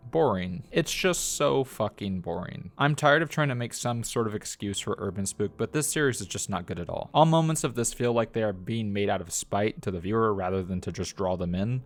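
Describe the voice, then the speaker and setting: A man delivering a scripted video review as a narrator, with a blunt, critical way of talking. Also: fairly low pitch. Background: background music.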